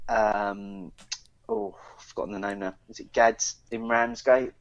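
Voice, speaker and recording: A man's voice: lowish voice, unhurried, gravelly, no echo, dull audio. A British man co-hosting a podcast, talking in a casual, chatty style about what is in front of him. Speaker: podcast co-host reading news. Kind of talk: casual beer podcast chat.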